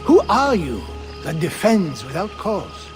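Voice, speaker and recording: An earnest Renaissance scholar for a video game, high for a male voice and very animated. He reasons aloud in formal, philosophical phrases, with faint music behind him. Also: slow, exaggerated voice. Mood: wary, puzzled.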